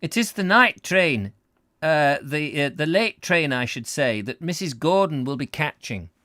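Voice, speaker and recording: A man acts out a fussy, fond Scottish husband from a storybook, pitched high and lively. The accent wobbles as he chats on, hesitating and correcting himself as if on the telephone. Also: a little slow, no echo, clean studio sound. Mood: hesitant, fussy. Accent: Scottish, wobbly put-on. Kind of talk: storybook character reading.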